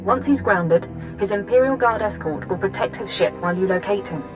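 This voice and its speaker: Casting: spy chief female actor, mid-pitched, crisp, authoritative, game briefing over clear music. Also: animated, brisk, natural voice, rough recording. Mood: urgent, authoritative. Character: composed female intelligence chief, urgent and authoritative. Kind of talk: video game voice acting.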